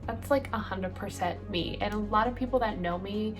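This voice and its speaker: A woman's voice: mid-range voice, normal speed, over music. A woman in her late twenties, from El Salvador, chatting casually through a get-ready-with-me Q&A, rambling with lots of ums and likes. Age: late 20s. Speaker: beauty vlogger.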